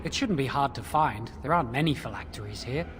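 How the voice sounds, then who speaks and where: An earnest young initiate, lively pitch. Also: female voice, fast talker, long reverb tail, noisy background. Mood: urgent, hopeful. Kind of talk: video game voice acting. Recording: game music under the voice.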